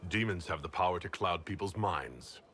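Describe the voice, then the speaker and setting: A stern, pragmatic leader of a fantasy order. His voice is low and forceful, with firm, deliberate authority. It is video game dialogue over faint background noise. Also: expressive. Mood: firm, reasoning.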